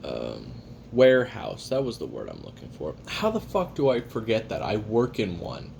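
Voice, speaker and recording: A low-voiced, gravelly male assassin played by a man confides his memoirs to a recorder. He is weary and sardonic, halting between phrases and swearing casually as he eats. Faint background noise sits under the audio drama monologue. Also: wildly swooping pitch, a little mellow, full character voice. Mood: blunt, irritated. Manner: halting, sardonic, casually profane, talking while eating. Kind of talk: first-person confessional audio roleplay monologue.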